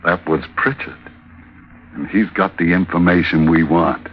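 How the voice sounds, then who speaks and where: A man's voice: low-pitched, steady tone, unhurried, slightly rough. A man playing a sly, smug henchman with slangy, insinuating talk in an old radio crime drama. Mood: sly, confident. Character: Red Gallagher, sly smug hardboiled male henchman. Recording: muffled old radio recording.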